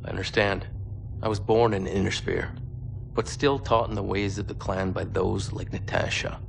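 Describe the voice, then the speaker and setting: An actor playing a grave, principled male mech commander in a game cutscene, speaking in a formal, measured, commanding way. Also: deep voice, fairly even intonation, creaky, background noise. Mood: stern, measured. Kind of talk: dramatic video game cutscene acting. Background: dramatic music.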